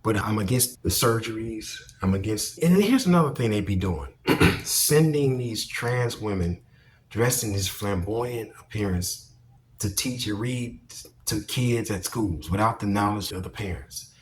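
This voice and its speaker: A man's voice: lowish voice, expressive, unhurried, slight background noise. A man giving casual opinion commentary as a vlogger, speaking bluntly and emphatically with plenty of swearing.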